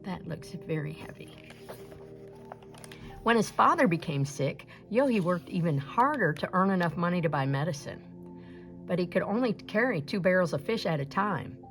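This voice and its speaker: A woman narrates a folktale as a storyteller. Her voice is on the lower side and highly animated, with some creak, over faint music. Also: unhurried, long pauses. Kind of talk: expressive scripted folktale read-aloud.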